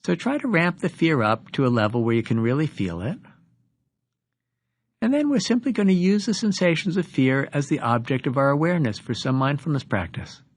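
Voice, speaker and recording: A man leading a guided meditation as a teacher, giving instructions with deliberate pauses between phrases. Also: a little high, expressive, dry recording.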